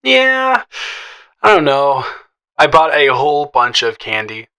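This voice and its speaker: Guy playing a teasing best friend type, bright voice, kinda shy n stammery, flirty audio roleplay, chatty. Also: on the high side, big pitch swings, clean tone, exaggerated voice. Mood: nervous, friendly. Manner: playful, teasing, halting with stammers and chuckles. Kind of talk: improvised, conversational romantic audio roleplay.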